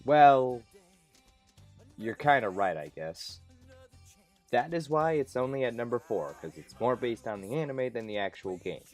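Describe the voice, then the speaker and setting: A man presents a comedic countdown review in a mid-range voice. His delivery is sarcastic and self-deprecating, like a hobby video host reading his own jokes. Soft music plays behind him on an amateur recording. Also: medium pitch, animated, measured pace, long pauses. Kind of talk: scripted comedic review commentary.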